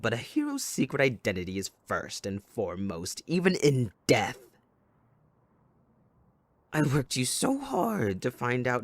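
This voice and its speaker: Wildly swooping, gleefully unhinged supervillain. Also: male voice, fairly low pitch, no room sound. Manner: theatrical, taunting, mock-sweet, swinging between hushed menace and outbursts. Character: sadistic, theatrical male supervillain kidnapper, possessive and gleefully unhinged. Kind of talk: dramatic audio roleplay monologue.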